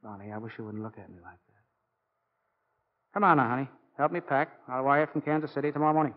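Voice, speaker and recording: An actor playing a genial, restless middle-aged coach and devoted husband in a brisk, affable 1940s radio drama style. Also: male voice, mid-range voice, lightly acted. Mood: apologetic. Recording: dull old 1940 radio recording.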